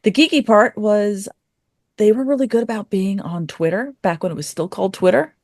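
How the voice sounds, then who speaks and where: A woman's voice: medium pitch, a little fast, darkish tone, dry recording, clean studio sound. A woman chatting casually and informally as a guest answering questions on a podcast. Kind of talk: casual chat.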